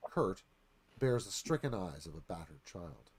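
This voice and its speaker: A man's voice: low-pitched, big pitch swings, measured pace, a little mellow. A man reading screenplay stage directions aloud as scripted narration.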